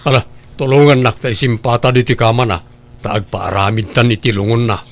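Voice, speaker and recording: Lalaki nga agak-akem iti managsulsol nga asawa, nagaraw timekna. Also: male voice, medium pitch, steady tone, a little fast, lightly acted. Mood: callous. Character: scheming, grasping husband goading his wife. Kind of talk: Ilocano radio drama acting.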